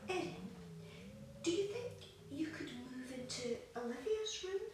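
A high-voiced, breezy and bossy doting mother, played by a woman in an audio drama. Her delivery gushes and swoops flightily up and down. The recording is very echoey, with faint noise. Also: lively intonation, a little slow, recorded from a distance. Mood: briskly imposing. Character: bossy, gushing sister-in-law, doting young mother.

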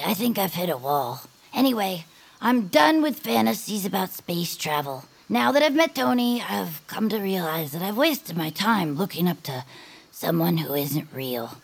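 A woman voicing a wry, dreamy young female peepkin inventor in an audio drama, with a slightly gravelly voice. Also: lowish voice, heavily acted. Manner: wry, deadpan, theatrical.

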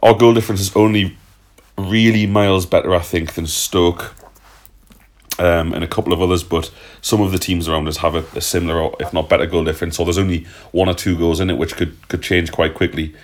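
A man's voice: low-pitched, flat delivery, brisk. A man hosting a casual football podcast, reading out listener questions and answering them in a chatty, loose way with the odd swear. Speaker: podcast host.

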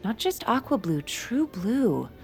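A woman playing a sweetly intense, adoring daughter in a comedic audio drama, gushing with breathy sincerity. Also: on the high side, big pitch swings, slow, faint music behind, heavily acted.

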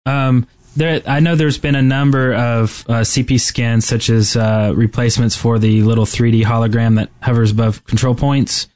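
Male podcast co-host, medium-pitched voice, informal gaming chat with a joking streak. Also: flat delivery, normal speed, few pauses, a little mellow.

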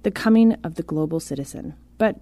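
Female narrator, polished scripted read, low mellow voice, dry studio. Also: expressive, no room sound. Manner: measured, polished delivery. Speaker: narrator introducing the writers.

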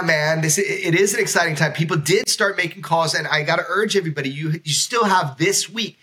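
Very bright, higher-pitched excitable male host. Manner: excitable, informal, emphatic. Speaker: talk show host. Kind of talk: enthusiastic casual podcast talk.

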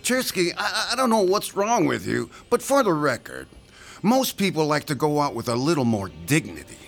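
A sneering thug in a radio play. His fairly high voice crackles with fry and swings widely as he taunts. The threats are sarcastic and drawn out, with music underneath. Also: big pitch swings, normal speed, full character voice. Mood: scornful. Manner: taunting, mocking, drawling threats. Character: sneering, sarcastic male thug extorting money. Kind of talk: radio drama acting.

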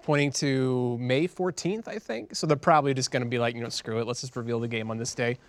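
A man's voice: medium pitch, fast talker, no room sound. A man co-hosting a podcast, talking casually with friends. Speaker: podcast co-host. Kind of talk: casual group banter.

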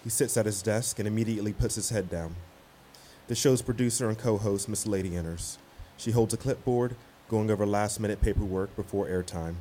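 A man narrating stage directions for a radio play in measured, declarative sentences. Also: fairly low pitch, warm tone, natural voice. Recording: some background noise.

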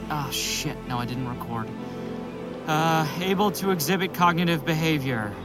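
A man's voice: on the high side, lively intonation, loud music behind the voice, natural voice. An actor in a naturalistic audio drama playing a dazed, nervous man who mutters fragments as he narrates his own psychedelic experiment. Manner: halting, muttering fragments to himself.

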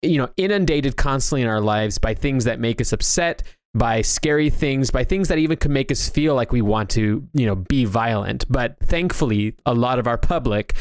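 A man's voice: mid-range voice, expressive, normal speed, hardly any pauses, no echo. A man co-hosting a casual discussion, rambling and often restarting his thoughts as he goes. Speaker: podcast co-host. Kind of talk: casual discussion of movies and games.

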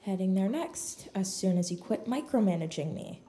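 A woman's voice: mid-range voice, animated, normal speed, warm tone, close to an everyday voice. An actress playing a sarcastic, exasperated, defensive young woman in a naturalistic audio drama. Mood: mocking, irritated.

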